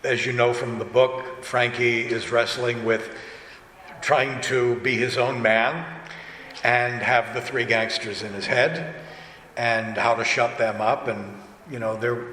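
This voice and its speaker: Guy on a convention panel, kinda halting chatty talk, big echoey room w some noise. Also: mid-range voice, fairly even intonation, pushed and bright. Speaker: director and actor speaking on a panel. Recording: echoey hall, distant, noisy room. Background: room ambience and noise.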